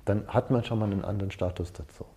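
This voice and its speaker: A man's voice: lowish voice, steady tone, fast, warm tone. A man leading a seminar in an informal, conversational way, often checking in with short tag questions. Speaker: seminar leader. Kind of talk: informal seminar talk with personal anecdotes.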